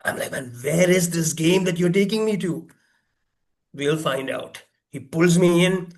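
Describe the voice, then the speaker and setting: High-voiced man telling a tall tale. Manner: theatrical, acts out dialogue, asks listeners rhetorical questions. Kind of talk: humorous exaggerated storytelling speech.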